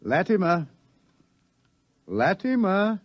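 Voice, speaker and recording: An actor playing an authoritative middle-aged scientist who lectures deliberately and ominously about coming doom, in an old radio drama style. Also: male voice, high-pitched, very animated, no room sound, heavily acted. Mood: darkly wry. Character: authoritative older male scientist explaining impending doom. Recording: dull lo-fi old radio recording.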